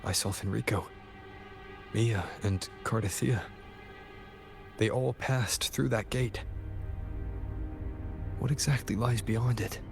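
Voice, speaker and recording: An actor playing a serious, purposeful adventurer in a video game, speaking gravely and matter-of-factly. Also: male voice, lowish voice, steady tone, slow, long pauses. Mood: serious, focused. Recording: game music clearly behind the voice.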